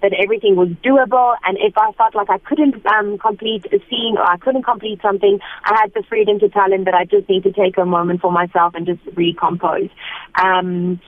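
South African actress on a tinny line. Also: female voice, mid-range voice, steady tone, dry recording. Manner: chatty, laughs often, quick asides. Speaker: actress guest. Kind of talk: casual interview chat.

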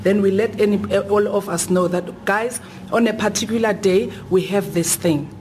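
A woman's voice: mid-range voice, steady tone, moderate pace, a little mellow, a little hiss or hum. Woman explaining plainly. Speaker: a cappella singer. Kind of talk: casual interview talk.

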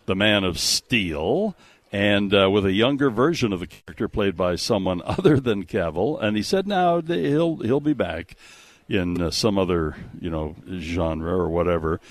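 A man with a lowish voice hosts a radio movie show, reading news and bantering casually. He is emphatic and jokey, with very lively intonation. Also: dry recording. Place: radio studio.

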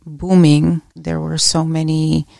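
Middle-aged woman academic guest from Bulgaria, low gravelly voice, measured and reflective answers. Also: flat delivery, darkish tone, no room sound, clean studio sound. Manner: measured, reflective, with small restarts. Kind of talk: thoughtful interview answers.

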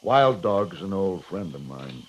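An American actor playing a headstrong, blunt cavalry captain with clipped military formality, in a 1950s radio western. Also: male voice, low voice, very animated, measured pace, slightly rough, heavily acted. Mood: formal, reserved. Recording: dull lo-fi 1950s radio recording.

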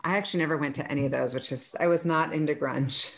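A woman's voice: sits low, fairly even intonation, brisk, vocal fry, a little hiss or hum. A woman in her forties hosting a podcast, friendly and chatty, often laughing as she asks questions. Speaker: podcast host. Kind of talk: casual podcast interview.

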